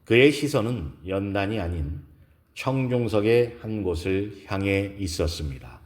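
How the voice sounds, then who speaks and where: A man with a fairly low voice reads a scripted romance story. His delivery is slow and solemn, with measured pauses between phrases, in the manner of dramatic audiobook storytelling. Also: animated, darkish tone.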